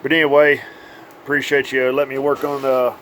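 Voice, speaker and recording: A man's voice: medium pitch, flat delivery, a little fast, fairly bright tone, a little hiss or hum. A man giving an informal, hands-on update as a radio repair technician, with plain, folksy phrasing. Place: indoors at a repair workbench.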